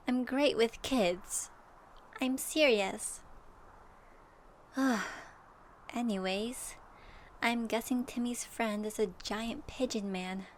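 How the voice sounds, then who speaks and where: A woman playing an earnest, gently wry young traveler in an ASMR audio roleplay, soft and thoughtful. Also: mid-range voice, close to an everyday voice. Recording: faint noise and ambience.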